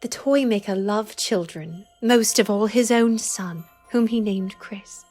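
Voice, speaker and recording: A woman with a medium-pitched voice narrates a fairy tale. The delivery is scripted storybook narration, with soft music underneath. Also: measured pace. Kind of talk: storybook narration for audio drama trailer.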